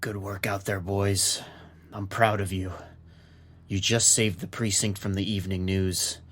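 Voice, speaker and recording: An actor playing a gruff, commanding police captain, curt and sardonic, in a noir audio drama. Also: male voice, deep voice, flat delivery, unhurried, a bit bright. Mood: gruff, impatient. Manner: curt, authoritative.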